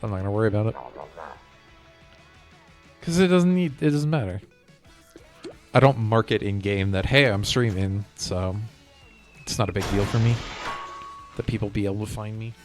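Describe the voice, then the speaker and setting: A man's voice: fairly low pitch, moderate pace, long pauses, darkish tone, soft background music. A man chatting casually on a gaming live stream, rambling as he hops from one thought to the next. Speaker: game streamer.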